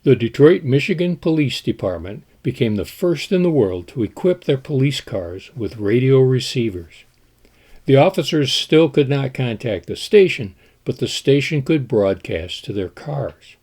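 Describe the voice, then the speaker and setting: A man telling the history of an old board game in a measured storytelling way, with an occasional "uh" as he goes. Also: medium pitch, big pitch swings, a little mellow, husky. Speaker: board game collector presenting. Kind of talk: scripted history narration.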